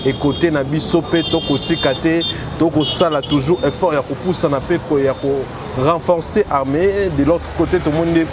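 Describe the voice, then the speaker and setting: A man with a Congolese French accent presents a web TV talk show with a formal on-air greeting. His voice sits a little high, and he moves between two languages. Street noise comes through clearly in this outdoor recording. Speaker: web TV host.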